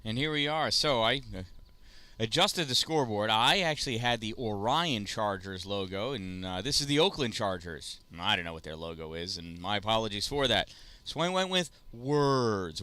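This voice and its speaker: A man calling a youth baseball game play by play, chatty and self-deprecating, with rambling asides and a loud voice. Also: on the low side, animated, normal speed, clear, resonant tone, dry recording. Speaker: play-by-play announcer. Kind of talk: casual youth baseball commentary.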